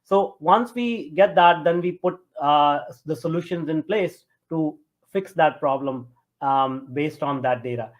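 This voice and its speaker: A man from Punjab with a North Indian accent, answering as an interview guest in a halting, conversational way with many fillers. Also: a little high. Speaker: interview guest, tech entrepreneur.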